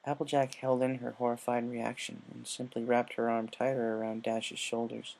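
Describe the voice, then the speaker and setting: Male fan fiction narrator, mellow and dark-toned, scripted storytelling. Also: medium pitch, little pitch movement, moderate pace, gravelly, low-quality recording.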